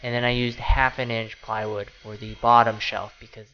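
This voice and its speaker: A man in his thirties hosting a home DIY channel, explaining a woodworking build in a relaxed, casual way with frequent fillers. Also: mid-range voice, normal speed, highs cut off. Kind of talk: casual how-to narration. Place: small home laundry room, then voice-over over garage workshop footage. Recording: voice over clear background music. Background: background music.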